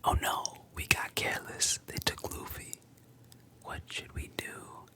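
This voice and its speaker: A storyteller voicing a calm adult male pirate captain in a soft, breathy whisper. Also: a little slow, close to an everyday voice. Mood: worried. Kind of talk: whispered ASMR story retelling.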